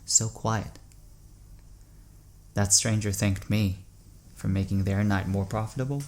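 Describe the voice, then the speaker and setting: An actor playing a troubled, haunted man in an audio drama, halting and brooding as he confesses dark thoughts. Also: fairly low pitch, fairly even intonation, lightly acted. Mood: haunted, confessional.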